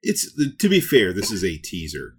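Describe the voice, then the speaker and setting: A man with a deep, rough-edged voice that swings up and down as he talks. He chats casually as a podcast co-host and leans hard on his points, repeating them for emphasis. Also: huge pitch swings, normal speed. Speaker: co-host of a nerd news podcast. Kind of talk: casual chat.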